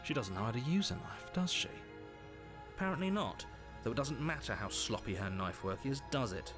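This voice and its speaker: An actor playing a stern, sardonic game narrator with formal, deliberate delivery. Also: male voice, medium pitch, expressive, close to an everyday voice. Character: stern, dry male narrator urging a killing. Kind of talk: scripted fairytale game narration. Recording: clear music bed behind.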